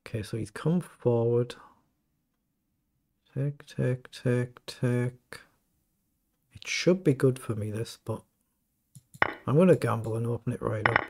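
Croaky middle-aged male streamer, gravelly, mid-pitched, thinks aloud over games; noisy home recording with clicks. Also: takes it slowly, pauses often. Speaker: chess streamer. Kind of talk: live game commentary.

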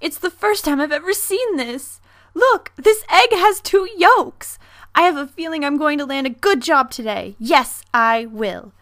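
A woman playing a gentle, struggling mother in an amateur anime dub audition, tender, apologetic and wistful. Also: high voice, expressive, unhurried, no echo, clean studio sound, full character voice. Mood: delighted.

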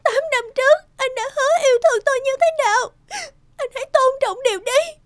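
A woman's voice: very high-pitched, quick pace, dry recording, clean studio sound. A woman playing a betrayed, indignant young wife in a melodramatic cartoon dub. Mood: tearful, pleading. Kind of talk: melodramatic Vietnamese cartoon dubbing.

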